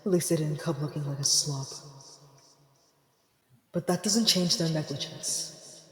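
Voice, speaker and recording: Casting: an actor as a proud young fantasy prince of frost, tsundere temper, husky mid-pitched voice, formal and prickly. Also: a little slow. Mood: wary, disdainful. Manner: indignant, formal, dramatic sighing delivery. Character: young haughty, easily flustered ice prince, tsundere temper. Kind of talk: fantasy audio roleplay acting.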